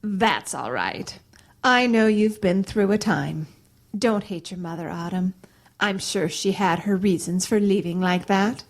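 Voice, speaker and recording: A woman playing a plainspoken, folksy aunt in an audio drama, kindly but sharp-tongued. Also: mid-range voice. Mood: sympathetic. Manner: folksy, brisk, matter-of-fact.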